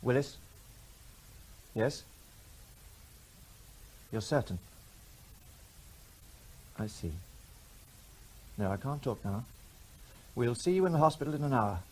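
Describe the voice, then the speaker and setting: A grave health officer. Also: male voice, mid-range voice, expressive, rough recording. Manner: polite, measured, grave. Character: formal middle-aged male health officer. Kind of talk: radio drama acting.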